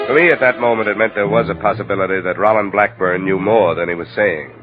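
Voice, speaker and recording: Man acting hard-working detective, low pitch, clipped delivery, cool temper, vintage radio drama, thin band-limited sound. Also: quick pace, phone-quality sound, over music, lightly acted. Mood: wry narration. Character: cool, dogged male insurance investigator. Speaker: lead actor and narrator.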